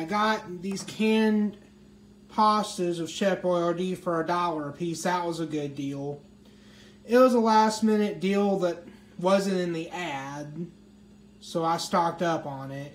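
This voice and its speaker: A man casually showing his grocery haul item by item, speaking in a plain, matter-of-fact way into a handheld phone camera at home. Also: high-pitched. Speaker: vlogger. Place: small indoor room at home. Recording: echoey room with faint hiss.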